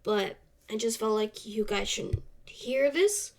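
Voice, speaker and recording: A young woman hosting a solo podcast, slightly congested from a cold, speaking in a soft, halting way that trails off. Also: on the high side, expressive. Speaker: podcast host. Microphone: camera off to the side.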